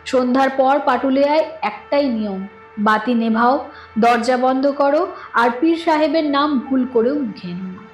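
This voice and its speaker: A woman's voice: high voice, normal speed. A woman reading a scripted suspense story in a dramatic storytelling manner. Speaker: narrator of a horror audio story. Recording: echoing studio voice with music underneath. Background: background music.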